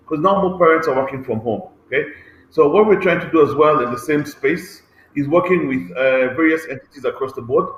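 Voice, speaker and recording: A man talks through his points on an online panel. His voice sits slightly high, and he speaks earnestly, checking in with "okay?" as he goes. The sound carries a noticeable room echo. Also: lo-fi sound. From Kenya. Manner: adds checking "okay?" tags, earnest explaining. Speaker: transport agency head on a panel. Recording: roomy echo.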